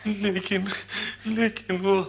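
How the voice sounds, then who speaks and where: Slow, creaky-voiced grieving old father. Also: high voice, little pitch movement, heavily acted. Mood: despairing. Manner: lamenting, repeats phrases in sorrow. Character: grief-stricken old father, weary elephant handler. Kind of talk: Hindi radio drama acting.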